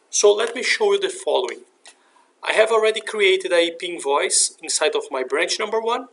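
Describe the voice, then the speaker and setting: A man with a somewhat high voice narrates a software tutorial. He explains each action step by step, in the manner of an instructor walking users through a procedure. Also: poor audio.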